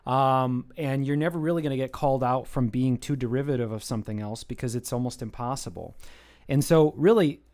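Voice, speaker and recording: An American man chatting casually on a live art stream, thinking out loud as he talks. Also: medium pitch, fairly quick. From United States. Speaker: streaming artist. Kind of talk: casual chat while drawing on stream.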